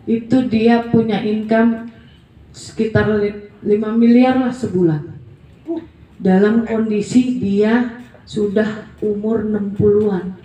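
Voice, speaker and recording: A woman's voice: mid-range voice, fairly even intonation, slow pace, pauses often, warm tone, far from the mic. Emphatic woman in her forties, echoey. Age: 40s. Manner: blunt, emphatic, colloquial. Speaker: network marketing presenter. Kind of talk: motivational talk.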